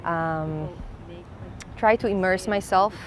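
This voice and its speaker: A woman artist in residence explaining her community project in a clear, measured way. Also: lowish voice. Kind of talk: explanatory interview talk.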